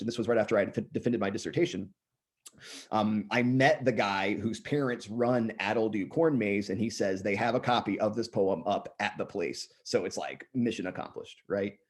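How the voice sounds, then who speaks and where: A man in his thirties, slightly hoarse and often clearing his throat, reciting his own poems in a measured way and chatting loosely between them. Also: lowish voice, darkish tone. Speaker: poet reading his own work. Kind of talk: poetry reading with casual asides.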